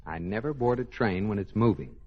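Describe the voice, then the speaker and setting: A man's voice: lowish voice, lively intonation, a little fast. A man playing a wry, dry-humored husband bantering in an old-time radio comedy. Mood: wry, affectionate. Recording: dull, noisy old radio recording.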